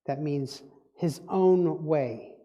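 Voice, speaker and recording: A man's voice: on the high side, very slow, highs cut off. Man, guest speaker at a spiritual gathering, musing, repeats himself, long hall reverb. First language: Dutch. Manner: reflective, musing, circles back on words. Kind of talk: spiritual talk.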